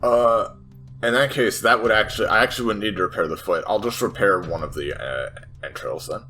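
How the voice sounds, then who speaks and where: A man playing a tabletop game, casually narrating what a character does in halting, hesitant phrases. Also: lowish voice, bright, forceful tone.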